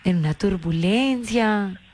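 A woman's voice: mid-range voice, slow pace, darkish tone, a little hiss or hum. A woman from Colombia with a Paisa accent, chatting casually on a phone call in a coaxing, playfully evasive, insistent way. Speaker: phone caller.

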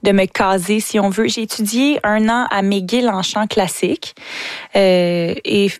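A woman's voice: medium pitch, fairly even intonation, unhurried, dry recording. Chatty Québécoise woman, dry studio sound. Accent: Québécois French. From Quebec. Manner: enthusiastic, chatty, many restarts and stammers. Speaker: guest, singer and TV host. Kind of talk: casual interview answers.

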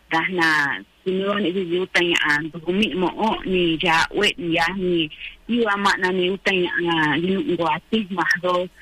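Bright-voiced woman radio co-host. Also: lowish voice, slightly rough, poor audio. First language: Triqui. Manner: relaxed, friendly, many fillers. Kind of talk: casual radio chat.

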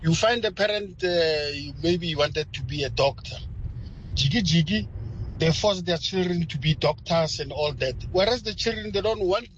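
A South African man sends in a voice note from a car, fairly high for a man and speaking in halting, emphatic bursts with repeated words. The sound is muffled and lo-fi. A faint engine hum sits behind him. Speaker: radio caller. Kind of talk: call-in voice note.

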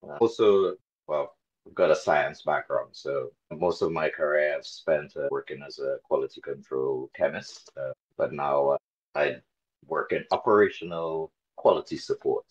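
A man in his forties from Trinidad and Tobago, talking casually as an interview guest, relaxed and a bit hesitant with many fillers. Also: very deep voice, moderate pace, breathy edge, highs cut off. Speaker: interview guest, dog carer volunteer.

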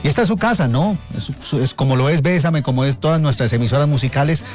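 A male radio host speaking playfully and warmly and making quick remarks. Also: a little high. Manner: playful and warm-hearted, quick interjections. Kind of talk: casual radio chat. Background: music.

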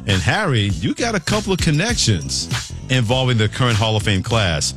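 A man's voice: medium pitch, very animated, normal speed, over music. A man co-hosting a sports radio show, mixing punchy promo reads with banter.

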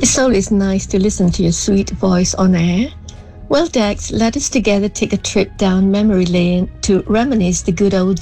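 Mellow-voiced woman listener. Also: medium pitch, rough recording. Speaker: listener sending a voice note.

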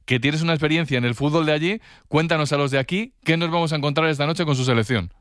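A man hosting a live radio sports show, presenting briskly and introducing guests and reporters. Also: a little high, a bit bright, clean tone, no room sound, studio quality.

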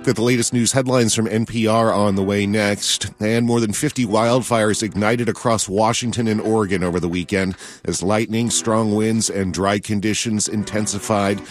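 Lowish American man presenting local radio. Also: steady tone, normal speed, faint music behind.

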